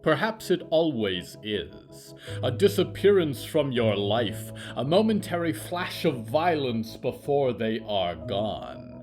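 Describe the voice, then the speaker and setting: Male narrator, eerie fiction: measured, ominous, dramatic delivery with very animated intonation. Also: mid-range voice, faint music behind. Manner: theatrical, deliberate, ominous. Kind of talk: scripted horror fiction narration.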